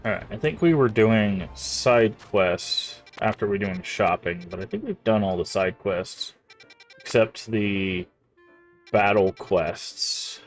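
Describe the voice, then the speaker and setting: A young man with a somewhat low, slightly nasal voice, stuffy from congestion, rambles through casual commentary while playing a game. He often trips over his words and restarts sentences. Soft game music plays faintly underneath. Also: slow. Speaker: streamer.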